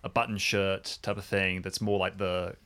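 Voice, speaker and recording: Male tabletop player, slightly low voice, casual halting narration of character actions. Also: level tone, pushed and bright, smooth. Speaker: tabletop role-play player.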